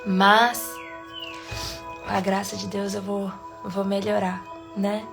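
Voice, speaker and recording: Brazilian woman all stuffed up with a cold, chatty devotional livestream, soft music behind. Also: mid-range voice, flat delivery, slow, creaky. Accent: Brazilian Portuguese. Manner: warm, chatty, soft laughs between phrases. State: congested with a cold. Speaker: devotional live host. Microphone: phone or webcam livestream.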